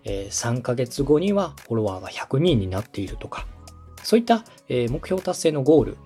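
A man's voice: mid-range voice, very animated, fairly quick, warm tone, soft background music. A man hosting a podcast, explaining step by step in a polite, instructive coaching style. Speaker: podcast host and radio director giving advice.

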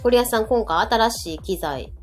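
A woman's voice: a little high, expressive, brisk. A woman with a Kansai accent chatting casually as a co-host of a live podcast. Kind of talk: casual chat, reacting to listener comments. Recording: background music under the talk. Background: music.

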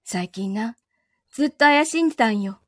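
A woman's voice: a little high, lively intonation, normal speed, fairly bright tone, no room sound, clean studio sound. A woman playing a betrayed, possessive young lover in a live audio drama, with a Kansai Japanese accent and a controlled, coldly teasing manner. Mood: cold, probing.

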